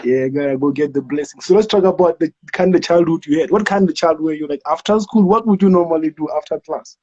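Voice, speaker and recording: High, mellow-voiced host. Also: male voice, fast, dull audio. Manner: slangy, hyped-up, says bro and like. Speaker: interview host. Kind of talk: casual interview.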